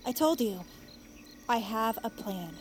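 A woman's voice: a little high, animated, takes it slowly, slight background noise. An actress playing an exasperated but determined young woman in an audio drama, speaking in terse, insistent lines.

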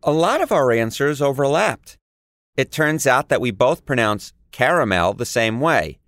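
Lively man hosting with a New York accent. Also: medium pitch, normal speed, no echo, studio quality. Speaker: podcast host. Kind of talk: scripted podcast narration.

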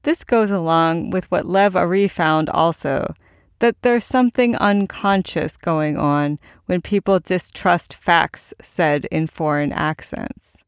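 A woman hosting a science podcast, reading scripted narration in a measured, explanatory way. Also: lowish voice, clean tone, no echo, clean studio sound. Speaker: podcast host.

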